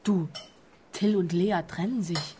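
An actress playing a gossipy, indignant wife in naturalistic radio drama dialogue. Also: female voice, fairly low pitch, takes it slowly, background noise.

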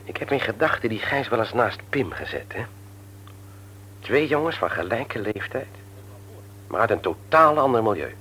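An actor in a Dutch radio play, playing a self-pitying, self-satisfied husband and office boss with a husky voice. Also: male voice, lowish voice, big pitch swings, normal speed, pauses often, pushed and bright, a little hiss or hum, rough recording.

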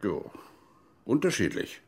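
An older actor playing a gruff, reticent former army major in a radio crime drama, halting and wary in German. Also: male voice, a little mellow, natural voice. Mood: reminiscing, halting.

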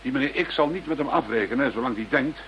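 An actor playing a pragmatic lead investigator in a radio play, calm and matter-of-fact with quiet authority. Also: male voice, mid-range voice, fast, close to an everyday voice. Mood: composed. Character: pragmatic, level-headed male leader of investigators. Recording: dull radio play sound, faint noise.

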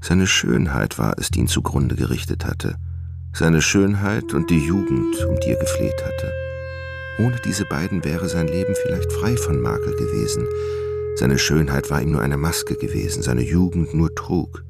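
A man narrating a dark gothic tale as the storyteller of a literary radio drama, grave and measured. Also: very deep voice, huge pitch swings, creaky, loud music behind the voice, exaggerated voice. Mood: grave, brooding.

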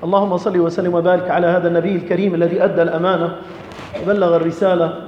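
A man's voice: sits high, flat delivery, rapid-fire. A man preaching an Islamic sermon in an impassioned, exhorting, rhetorical manner, telling stories and admonishing listeners. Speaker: preacher delivering a Friday sermon. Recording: echoey reverberant hall, dull, faint noise.

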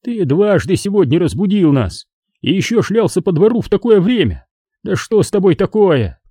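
A man's voice: high-pitched, sing-song, moderate pace, warm tone, dry recording, clean studio sound, voice pushed up. A man reading a storybook as a stern, exasperated father who scolds and gives orders. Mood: scolding. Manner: scolding, commanding.

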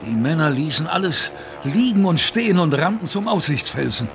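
An actor playing an earnest expedition survivor who tells his story as a radio play narrator, conversationally and with dramatic pauses. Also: fairly high pitch, sing-song, creaky, music in the background. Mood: moved, excited.

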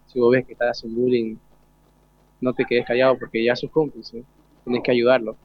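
A man's voice: medium pitch, flat delivery, normal speed, dry recording. Young Argentine man, dry dull recording. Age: teens to young adult. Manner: colloquial, earnest, seeks agreement with question tags. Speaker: student guest. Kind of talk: informal interview answers.

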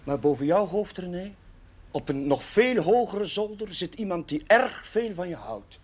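Earnest, higher-voiced chaplain. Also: male voice, big pitch swings, a little mellow, slightly rough, heavily acted. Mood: earnest, pleading. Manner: pleading, measured, sincere. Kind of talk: 1970s Dutch television drama acting. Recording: faint noise on old TV audio.

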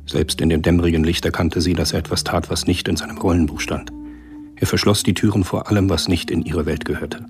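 A male narrator of a radio thriller with an extremely deep voice. He delivers scripted literary narration between the acted scenes. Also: fairly even intonation, a little fast, darkish tone, soft background music.

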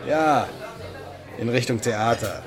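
Barkeeper, male actor: sardonic and gruff, mid-pitched, radio crime drama with music underneath. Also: takes it slowly, natural voice. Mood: gruff, defiant. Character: male café keeper, gruff, defiant, sardonic.

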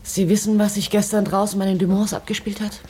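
An actress playing a brisk, wry woman journalist who asks direct questions in a naturalistic radio drama. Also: mid-range voice, flat delivery, a little mellow, slight background noise, close to an everyday voice. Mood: probing. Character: assertive Parisian woman journalist, wry and probing.

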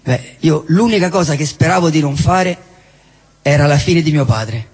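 A man acting a disillusioned, jobless character, blunt yet wistful, in halting stage dialogue with repeated phrases and a husky voice. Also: fairly high pitch, darkish tone. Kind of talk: theatrical stage dialogue and monologue. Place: theatre hall, live show. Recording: echoey hall, live stage recording.

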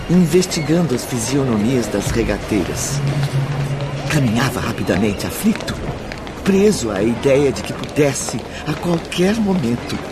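A man narrating a radio drama in an expressive, storytelling manner. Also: medium pitch.

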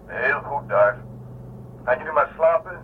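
An actor playing a calm mothership officer heard over a radio link in a science fiction radio drama. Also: fairly high pitch, unhurried. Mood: calm, formal. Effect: two-way radio filter. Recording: tinny radio link, faint noise, distant.

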